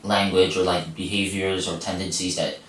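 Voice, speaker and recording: A man's voice: low voice, level tone, normal speed. A teenage man chatting casually and a little hesitantly, searching for his words. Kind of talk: casual chat. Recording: distant mic in echoey room with faint hiss.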